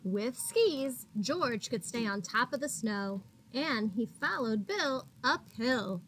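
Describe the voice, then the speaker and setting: A woman with a fairly high voice reads a picture book to young children at a slow, measured pace. She is expressive in a teacherly way, speaking straight to her little listeners. A faint noise sits behind her. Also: poor audio. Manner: expressive, addresses children as friends, gasps for suspense. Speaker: teacher reading aloud to children. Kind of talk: animated picture-book read-aloud with questions to young listeners.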